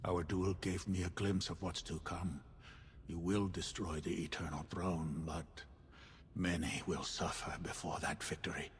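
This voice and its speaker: An actor playing a cryptic male seer who speaks of fate in a measured, solemn way. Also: low-pitched, flat delivery, slight background noise. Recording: reverberant hall with faint ambience.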